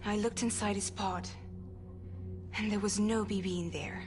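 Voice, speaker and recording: Mid-pitched actress as a firm, serious woman with a grim explanation. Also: steady tone, moderate pace, music in the background, natural voice. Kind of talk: video game cutscene acting.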